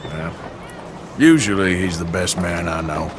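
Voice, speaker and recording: An actor playing a rough, world-weary American outlaw gunman in a video game cutscene, drawling and sardonic. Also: sits low, a little slow, noisy background. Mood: wry.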